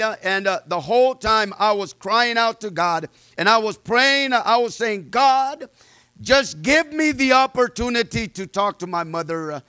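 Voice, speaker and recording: A man preaching a sermon with personal testimony, loud and fervent, peppering his words with amens and uh's. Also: very high voice, smooth, dry recording.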